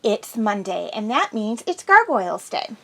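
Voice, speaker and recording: A woman's voice: medium pitch, very animated, unhurried, gravelly, pushed and bright. A woman host chatting casually and enthusiastically through an episode review, slightly congested from a cold.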